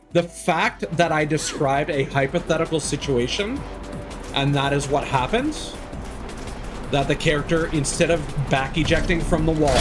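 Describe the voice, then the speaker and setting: A man giving live gameplay commentary, thinking aloud and correcting himself in an exasperated, complaining way. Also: mid-range voice. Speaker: game streamer.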